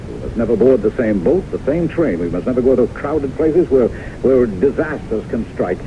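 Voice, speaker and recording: Ardent, expressive doctor in love, played by an actor. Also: male voice, mid-range voice, moderate pace, lightly acted. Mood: urgently persuasive. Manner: earnest, theatrical delivery. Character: ardent, persuasive doctor in love, stubbornly hopeful. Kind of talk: old-time radio drama acting. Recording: muffled, noisy old radio broadcast tape.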